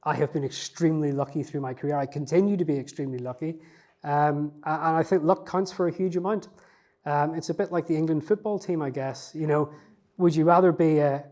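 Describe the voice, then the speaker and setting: A man's voice: fairly high pitch, darkish tone. A man, a researcher, answering interview questions in a reflective, self-effacing way, with frequent fillers and restarts. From not from Newcastle.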